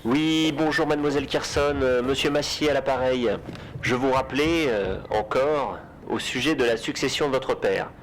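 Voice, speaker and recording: Actor playing male notary, formal, awkward, courteous, mid pitch, slightly noisy voicemail, audio drama. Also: natural voice. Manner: formal, halting, tactful. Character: awkward, courteous notary leaving a phone message. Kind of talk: French audio drama acting. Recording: voicemail message with faint noise.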